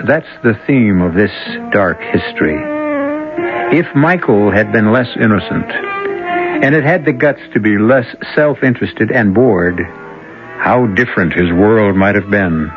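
A man narrates reflectively as host of an old radio show. His voice is lowish, and music plays clearly beneath. The broadcast sounds dull. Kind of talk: scripted narration.

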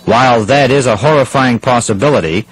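A man's voice: mid-range voice, fairly quick, slight background noise, close to an everyday voice, poor audio. A man playing a serious radio news anchor, reading a formal scripted crisis bulletin. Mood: grave, formal.

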